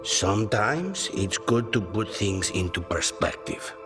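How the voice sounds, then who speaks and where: An actor playing a dry, measured contract killer with a soft spot for cooking in a video game. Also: male voice, fairly low pitch, animated, over music. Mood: dry, wry. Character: dry, professional contract killer who loves cooking and coffee. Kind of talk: video game cutscene acting.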